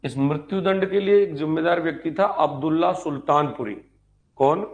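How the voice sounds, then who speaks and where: Male history teacher, slightly high voice, explanatory lecture delivery with repeated phrases and quick check questions to students. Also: darkish tone, lo-fi sound. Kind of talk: exam-prep lecture, repeating lines slowly as if dictating.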